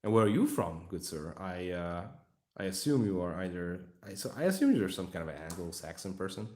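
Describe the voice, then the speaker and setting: Hungarian male streamer, very low voice, loose joking ramble, echoey room. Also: sing-song, a little fast, darkish tone. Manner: rambling, joking, talking to chat. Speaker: livestream hobby host. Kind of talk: casual livestream chat while building a model.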